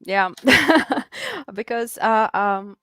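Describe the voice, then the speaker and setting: A woman with a Latvian accent, a guest on a video call interview, chatting casually with frequent laughs and filler pauses. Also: a little high, fairly bright tone, smooth, no echo, clean studio sound. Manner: laughs often, hesitant with fillers. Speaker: guest artist.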